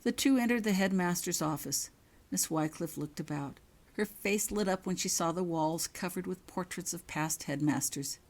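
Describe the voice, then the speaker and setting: A woman's voice: fairly low pitch, normal speed. A woman reading her own story aloud as scripted narration. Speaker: author reading own fan fiction.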